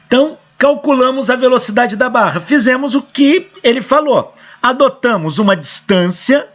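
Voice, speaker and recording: A hoarse male engineering professor with a Brazilian Portuguese accent, working through equations step by step and answering his own questions, on a dull lo-fi recording. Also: extremely high pitch, expressive, moderate pace, fairly bright tone.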